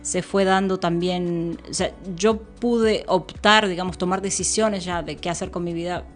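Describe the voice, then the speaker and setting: A woman speaking as a podcast guest in a relaxed, rambling way, full of fillers and restarts and quick to laugh. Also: fairly low pitch, faint music behind. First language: Spanish, with English since early childhood. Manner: rambling, frequent fillers and self-interruptions, laughs easily. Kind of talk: casual conversational interview.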